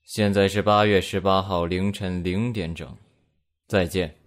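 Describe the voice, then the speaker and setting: A man's voice: sits low, steady tone, unhurried. A man playing a curt, matter-of-fact official escort in a sci-fi radio drama.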